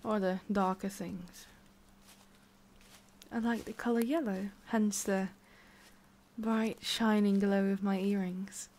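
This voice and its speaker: A woman playing a centuries-old forest witch in an ASMR roleplay, haughty but secretly soft, speaking in a halting, musing way with a prickly edge. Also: medium pitch, fairly even intonation, lightly acted. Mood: proud. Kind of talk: ASMR roleplay, addressing the listener.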